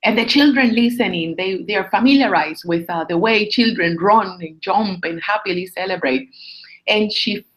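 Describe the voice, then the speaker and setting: A woman with a Puerto Rican accent answering interview questions conversationally, often pausing to search for words and repeating phrases. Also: mid-range voice, a bit bright, poor audio. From Puerto Rico. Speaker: interview guest, astronomer.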